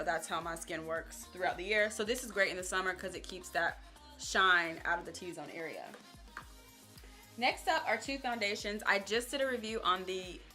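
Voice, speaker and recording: A young woman casually chatting to camera as a beauty vlogger, upbeat and talking straight to her viewers, her voice a little raspy. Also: medium pitch, pushed and bright. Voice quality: slightly raspy.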